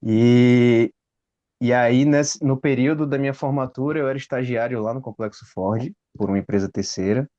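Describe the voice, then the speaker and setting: A man's voice: mid-range voice, fairly even intonation, moderate pace, mellow, dull audio. A man, telling his story casually with frequent tag questions. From Salvador, Brazil. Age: 30s. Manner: rambling, frequent "né?" tags. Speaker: guest.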